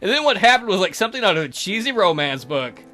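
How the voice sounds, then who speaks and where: Male game host, slightly high, very bright voice, narrating a romance game with mocking commentary. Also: very animated, fast talker, faint music behind. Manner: crude, mocking, jokey. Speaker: let's play host. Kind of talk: reading a visual novel aloud with joking asides.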